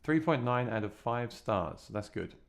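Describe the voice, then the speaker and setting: A man with a deep voice, a gigging musician, chatting plainly through a gear review. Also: lively intonation, moderate pace, no room sound.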